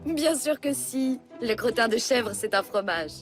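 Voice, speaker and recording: An encouraging cartoon schoolteacher with a fairly high voice. She sounds instructive, over a musical backing. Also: animated, a little slow, faint music behind. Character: village schoolmistress, encouraging adult woman. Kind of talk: French animated series dubbing.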